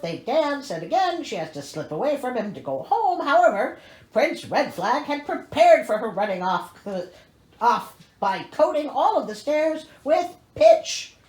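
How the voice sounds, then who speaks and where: A woman's voice: fairly high pitch, a little mellow, echoey room, rough recording. A woman reading a storybook aloud as host, theatrical and wry, breaking in with exasperated, sarcastic asides.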